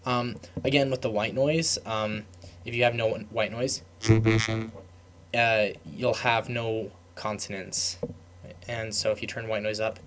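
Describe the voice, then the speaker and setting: A young man giving a casual, unscripted software tutorial, rambling and trailing off mid-thought in an informal way. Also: on the low side, steady tone, unhurried, slight background noise.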